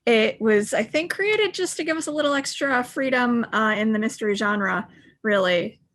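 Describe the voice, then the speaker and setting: A woman's voice: fairly high pitch, lively intonation, normal speed, a bit bright. A woman talking casually as an interview guest, an audio theater director explaining things.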